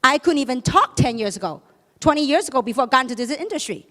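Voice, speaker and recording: A woman's voice: high voice, lively intonation, fast, sharp tone. A woman whose first language is Chinese gives an emphatic motivational seminar talk, throwing rhetorical questions at a live audience in a large hall. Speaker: speaker at a business seminar. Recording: long reverb of a large hall.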